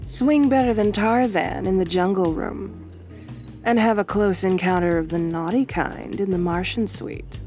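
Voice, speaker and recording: Woman acting late-night radio hostess, velvety, sardonic, teasing, slow delivery, music behind. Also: fairly low pitch. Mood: sultry advertising patter. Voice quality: velvety, soft. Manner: flirtatious, teasing, wry. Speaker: radio host character. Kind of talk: late-night radio show roleplay, soft spoken.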